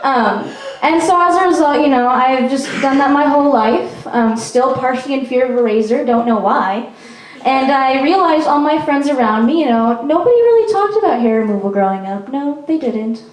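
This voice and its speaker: A woman's voice: sits high, expressive, moderate pace, few pauses, far from the mic. A young woman from Peru performing a stand-up comedy set in a chatty, self-mocking way, with frequent ums. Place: small performance venue. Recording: echoey venue recorded from afar.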